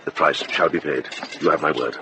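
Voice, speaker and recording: An actor playing a wise older Benedictine monk in a radio drama, gentle but probing, with measured, old-fashioned speech. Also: male voice, a bass voice. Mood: earnest, pleading. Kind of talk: British radio drama acting. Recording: noisy outdoor-like drama soundscape.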